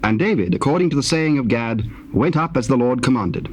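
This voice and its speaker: A man narrating dramatized scripture in a measured, formal manner. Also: medium pitch, animated, a little mellow. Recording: faintly noisy old cassette tape.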